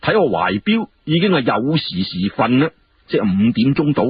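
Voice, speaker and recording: Male storyteller, colloquial and dramatic, very varied pitch, dull radio-like sound. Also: moderate pace, breathy edge. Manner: animated, colloquial, conversational asides. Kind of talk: dramatic Cantonese audiobook storytelling.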